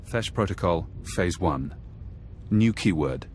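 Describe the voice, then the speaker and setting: Cold commanding mentor for a game: deep, clipped, urgent, faint noise behind. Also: male voice, animated, slow pace, darkish tone. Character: cold, urgent male mentor reprogramming an agent's mind. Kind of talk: video game voice acting.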